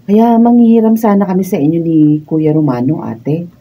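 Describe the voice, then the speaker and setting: A woman's voice: fairly low pitch, lively intonation, normal speed. An actress playing a humble, pleading poor mother in a Filipino radio drama. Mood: pleading, hesitant. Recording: dull distant mic, faint noise.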